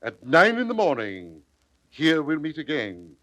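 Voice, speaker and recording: A man's voice: fairly high pitch, huge pitch swings, unhurried, sharp tone, muffled recording, full character voice. An actor playing an authoritative, courteous statesman, giving orders in formal, declamatory classical stage style. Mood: commanding, urgent. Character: authoritative male ruler, brisk, courteous statesman. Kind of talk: classical stage acting in audio drama.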